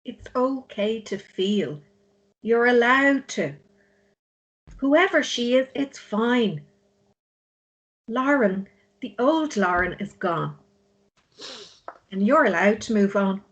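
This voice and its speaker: An actress playing a caring, insistent confidante with an earnest manner in a drama table read. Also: female voice, a little high, animated, takes it slowly, long pauses, lo-fi sound. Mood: reassuring. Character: caring, insistent confidante urging a man onward.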